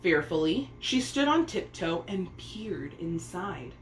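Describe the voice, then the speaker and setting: A woman reading a children's story aloud as an expressive narrator. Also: fairly low pitch, slow. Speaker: storybook reader. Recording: echoey room, faint background noise.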